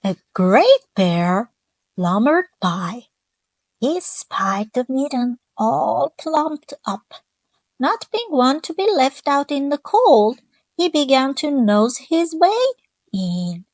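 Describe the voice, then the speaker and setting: A woman reading a children's picture book aloud, gently stopping to ask her young listeners questions. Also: high voice, very animated, takes it slowly, dry recording, low-quality recording. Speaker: children's storyteller.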